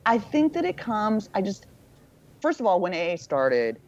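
A woman with a low voice, a podcast guest talking in a frank, irreverent, self-mocking way. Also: wildly swooping pitch, moderate pace, darkish tone. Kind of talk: candid casual conversation. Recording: dull lo-fi sound.

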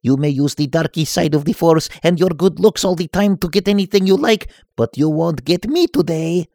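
A man's voice: on the high side, normal speed, dark tone, no room sound, clean studio sound, pitched above the performer's own voice, close to an everyday voice. A man voicing a cocky male nerf herder who taunts his enemies and laughs at them. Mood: taunting.

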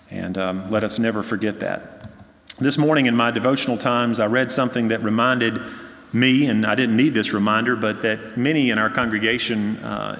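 A man serving as pastor, giving a measured, earnest sermon to his congregation, with frequent fillers. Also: lowish voice, creaky, fairly bright tone. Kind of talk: sermon with pastoral prayer concerns. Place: church sanctuary. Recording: long church reverb.

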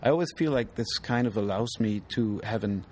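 A man's voice: lowish voice, a little fast, a little mellow. A man, an artist, explains his work in an interview, thoughtful and full of ums. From Germany. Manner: thoughtful, hesitant, many ums. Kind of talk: casual interview. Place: outdoors at an art fair. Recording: noisy outdoor recording.

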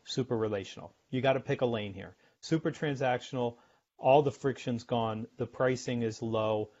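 A man's voice: mid-range voice, a little mellow, lo-fi sound. A man speaking as a guest expert in a podcast interview, explaining things conversationally in short, emphatic, instructive phrases.

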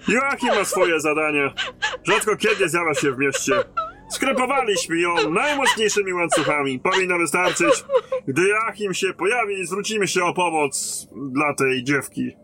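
An actor playing a weary lieutenant in command of a besieged garrison in a fantasy audio drama. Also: male voice, high voice, sing-song, moderate pace, very noisy. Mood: grim.

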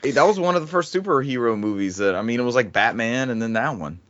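A man's voice: mid-range voice, expressive, quick pace, fairly bright tone, muffled recording. A man co-hosting a podcast in casual banter, putting questions to his friends. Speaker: podcast co-host.

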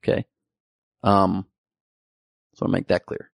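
A man's voice: deep voice, completely flat delivery, slow pace, gravelly, dry recording. A young man giving a comedic, profane monologue about the news with joking asides. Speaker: comedy commentary host.

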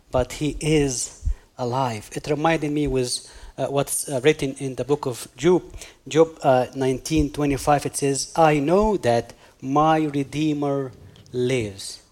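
A man with an Egyptian accent, a native Arabic speaker, preaching a sermon as a guest pastor, halting now and then as he hunts for words. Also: medium pitch, a little mellow. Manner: halting, hunting for words with many pauses.

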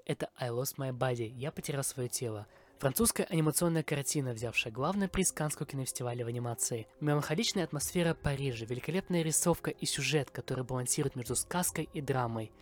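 A man's voice: mid-range voice, expressive, quick pace, few pauses. A man from Kazakhstan hosting a podcast, delivering a scripted review monologue. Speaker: podcast host.

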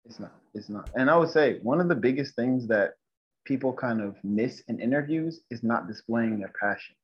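A man's voice: medium pitch, animated, normal speed, breathy edge. A man speaks as a guest on a casual interview, giving earnest career advice as a designer and mentor. He talks loosely, restarting his sentences and leaning on "like". The audio is dull and lo-fi.

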